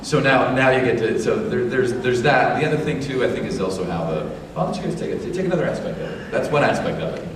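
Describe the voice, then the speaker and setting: Low-voiced male panelist, quick and stop-start, in a booming hall. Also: expressive. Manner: halting, restarts and fillers. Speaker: voice actor panelist. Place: large convention hall. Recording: echoey hall from far off, noise.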